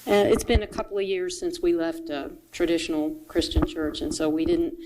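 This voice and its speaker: Mellow, halting woman's voice. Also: fairly low pitch, slight background noise, poor audio. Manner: halting, searching for words. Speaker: church visitor sharing a comment. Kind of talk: brief spoken testimony. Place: church sanctuary.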